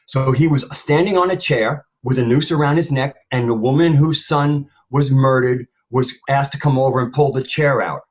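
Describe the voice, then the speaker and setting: A man's voice: mid-range voice, normal speed, hardly any pauses. A man speaking as a panelist in an opinionated discussion, rambling and emphatic, often repeating phrases for weight.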